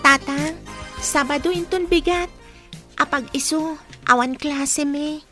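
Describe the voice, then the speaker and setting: A woman's voice: sits high, takes it slowly, music in the background. A woman playing an eager young child in a radio drama.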